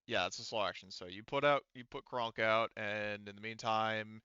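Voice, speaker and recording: A man works as game master in a casual tabletop session, with a bright, crisp voice. He swears and jokes his way through the narration, recorded close and dry. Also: lowish voice, steady tone, normal speed, no room sound, lo-fi sound. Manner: sweary, joking.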